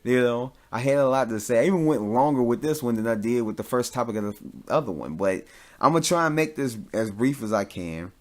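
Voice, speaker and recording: A man's voice: medium pitch, animated, fairly quick. Casual, opinionated man hosting a podcast. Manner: informal, opinionated, slangy. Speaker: podcast host. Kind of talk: casual solo podcast commentary on films and TV.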